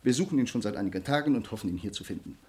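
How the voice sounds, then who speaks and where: A man's voice: lowish voice, animated, racing, a little mellow, close to an everyday voice. Man acting an earnest nobleman with sweeping delivery. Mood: grave, troubled. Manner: formal, eloquent, earnest. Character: honor-bound young nobleman, grateful, earnest, passionately restrained. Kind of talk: German radio play acting of classic drama.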